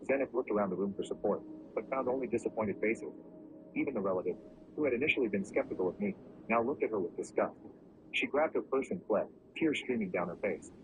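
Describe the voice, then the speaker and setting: A man narrating a first-person story in a steady, even storytelling delivery. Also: fairly low pitch, quick pace. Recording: muffled lo-fi recording with clear background noise.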